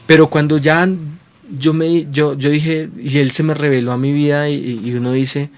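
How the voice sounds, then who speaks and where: A man's voice: fairly high pitch, level tone, moderate pace. Earnest preacher man. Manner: earnest, checks in with repeated rhetorical questions; repeats and restarts phrases while building points. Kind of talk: sermon to viewers at home.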